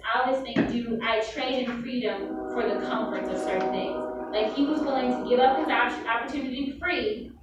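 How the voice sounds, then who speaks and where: A woman teacher reading aloud and leading a discussion with her class. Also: fairly high pitch. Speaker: middle school teacher. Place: indoor classroom. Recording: very echoey classroom, distant mic, noisy.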